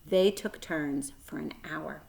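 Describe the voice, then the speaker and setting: A woman reading a children's book aloud as a storyteller, expressive, with dramatic emphasis and shifting volume. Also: low voice, takes it slowly, gravelly.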